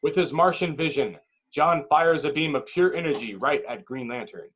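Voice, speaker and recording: A man's voice: a little high, moderate pace, fairly bright tone. A man reading stage directions as narrator at a table read, in dramatic, clipped phrases.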